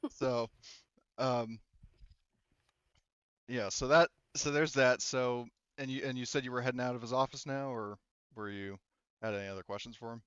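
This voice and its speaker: A man narrates a tabletop roleplaying game as game master. His voice is smooth and mid-pitched, and he talks in a halting, rambling way. The recording is dry and a little muffled. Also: fairly even intonation, a bit bright, no echo. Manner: halting, rambling, self-correcting.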